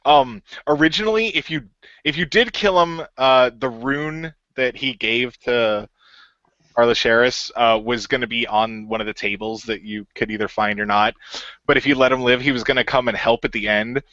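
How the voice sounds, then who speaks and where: A man's voice: medium pitch, moderate pace, sharp tone, no room sound. A man, the creator and game master of an audio drama, chatting casually, rambling with many fillers and laughing often. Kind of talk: casual group chat. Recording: dull lo-fi, dry sound.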